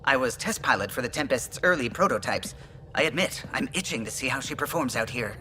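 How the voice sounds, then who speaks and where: A man voicing a courteous, eager alien starship pilot in a video game, with crisp, precise speech. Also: mid-range voice, lively intonation, brisk, pushed and bright. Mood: eager, reassuring. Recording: music behind the voice.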